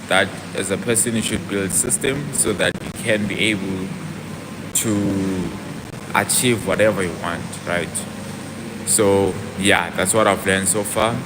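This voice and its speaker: A man's voice: fairly low pitch, background noise. A young man on a casual fitness livestream, chatting to viewers and answering their comments aloud as he talks. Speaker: fitness vlogger.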